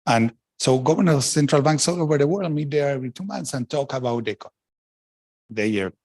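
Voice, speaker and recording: A man's voice: medium pitch, fast, a little mellow, no room sound. A middle-aged man from Argentina, a former central banker, speaking frankly and emphatically on a panel, with restarts and asides. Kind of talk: panel talk answering questions.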